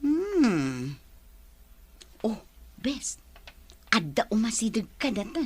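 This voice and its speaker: A woman's voice: a little high, very animated, slow pace, a little mellow, a little hiss or hum. An actress playing a giggly young woman who teases her best friend. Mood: teasing. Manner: teasing, giggling.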